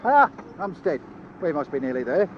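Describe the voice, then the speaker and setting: An actor playing an earnest, proud, eccentric inventor with a Northern English accent and clipped phrasing, in a radio drama. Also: male voice, a little high, very animated, normal speed. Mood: proud, precise. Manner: zealous, clipped, drops articles. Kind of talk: British radio drama acting. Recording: dull, noisy old radio drama recording.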